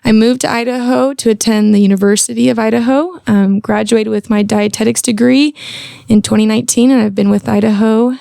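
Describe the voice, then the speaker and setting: A woman's voice: on the high side, steady tone, a little fast, creaky, mellow, no room sound, clean studio sound. A woman in her late twenties from California, a dietitian guest on a podcast, speaking in a friendly, slightly nervous way. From Bay Area, California. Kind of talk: podcast interview. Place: clinic office.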